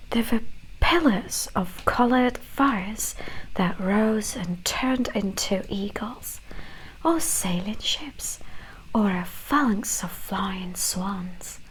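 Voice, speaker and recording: A woman with a mixed British and Canadian accent whispering a story in a gentle, hushed ASMR storytelling style. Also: mid-range voice, slight background noise, poor audio. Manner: gentle, hushed, unhurried delivery.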